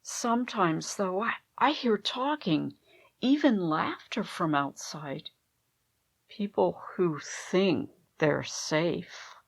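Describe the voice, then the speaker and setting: A woman playing a tense, weary hostess in a dangerous world in an audio drama, speaking in a measured, guarded way. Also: fairly low pitch, animated, gravelly, no room sound, heavily acted. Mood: weary, haunted.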